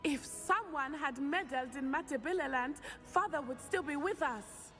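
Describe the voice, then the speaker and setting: A woman's voice: sits high, moderate pace, a bit bright, faint music behind. A young woman playing a headstrong, earnest teenage witch in a fantasy game, speaking in formal, precise phrases. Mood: upset. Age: young adult. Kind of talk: video game acting.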